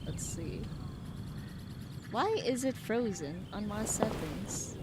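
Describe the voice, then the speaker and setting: A woman's voice: medium pitch, expressive, takes it slowly, heavy background noise. A woman streaming a video game, chatting casually and slangily with her viewers and laughing often between sentences. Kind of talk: casual live-stream chat.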